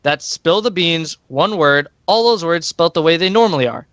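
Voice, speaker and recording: Man playing a high-voiced, breezy student music promoter. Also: expressive, moderate pace, fairly bright tone, dry recording, dull audio. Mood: breezy, assured. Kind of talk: amateur radio play, plugging events.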